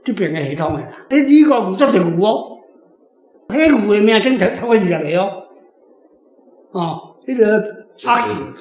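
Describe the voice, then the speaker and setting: Taiwanese male guest author, high voice, roomy sound, casual and anecdotal explaining. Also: expressive, moderate pace, a little hiss or hum. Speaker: author and guest answering questions.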